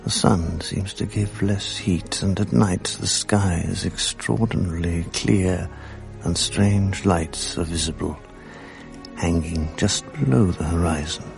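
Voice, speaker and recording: Bereaved solitary man, deep gravelly voice, reflective, radio play monologue, music underneath. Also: fairly even intonation, a little slow. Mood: brooding, haunted. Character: ailing, grieving, lonely man narrating his solitary thoughts. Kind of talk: intimate radio drama monologue.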